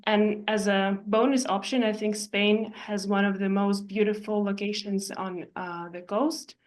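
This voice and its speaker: A woman gives a presentation as an architect and investor. She talks in a measured, explanatory way, often pausing between phrases, with her voice sitting at an ordinary middle pitch. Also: steady tone. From Ukraine. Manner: measured, explanatory, with frequent hesitations. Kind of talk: presentation walking through slides.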